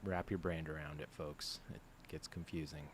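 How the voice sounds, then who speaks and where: Very deep-voiced easygoing man. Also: level tone, normal speed, noisy background. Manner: easygoing, laughs along. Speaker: musician co-host. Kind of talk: casual live-stream chat.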